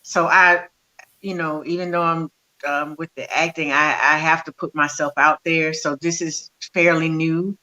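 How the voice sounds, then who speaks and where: A stage actress speaking softly and hesitantly on a video call, describing herself as shy. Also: female voice, sits low, level tone, a little slow, low-quality recording. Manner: soft-spoken and hesitant, calls herself shy. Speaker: stage actor. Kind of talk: casual video call interview.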